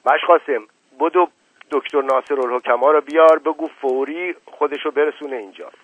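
A man voicing a stern middle-aged father who gives brisk orders. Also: mid-range voice, slightly rough, no echo, pitched above the performer's own voice, natural voice. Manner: brisk, commanding. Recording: tinny narrow-band, dry.

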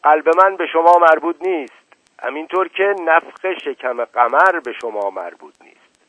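A man voicing a quick-tempered, paranoid old man who shouts indignant orders. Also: on the high side, animated, no echo, pitched above the performer's own voice. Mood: furious. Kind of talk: audiobook character reading. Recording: tinny narrow-band, dry.

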